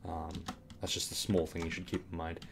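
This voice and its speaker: A man with a slightly raspy voice giving casual commentary on a game tutorial, rambling with fillers and restarts, keyboard clicks behind him. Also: low voice, completely flat delivery, a little fast, background noise.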